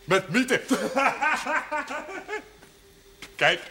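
A man's voice: high-pitched, expressive, very slow, creaky, sharp tone, slight background noise, exaggerated voice. A man playing a childlike, simple-hearted and eager village man in a 1970s television drama, naive and emphatic. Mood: gleeful. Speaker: actor.

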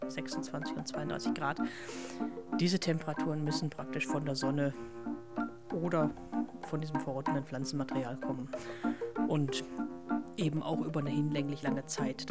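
A woman's voice: low voice, little pitch movement, normal speed. A woman explaining bird breeding as a nature expert, matter-of-fact. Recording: loud music underneath.

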